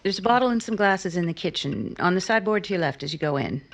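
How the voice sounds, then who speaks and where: A woman playing a proud, teasing, guarded young woman in an old-time radio romance, with a coy, measured delivery. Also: lowish voice, animated, dry recording. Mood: guarded, hospitable.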